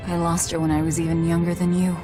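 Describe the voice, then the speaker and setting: A woman's voice: lowish voice, completely flat delivery, fast talker, over music, close to an everyday voice. A woman playing a blunt, guarded young fighter in a video game, with a dry, curt delivery. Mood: matter-of-fact. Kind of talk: English-dubbed video game acting.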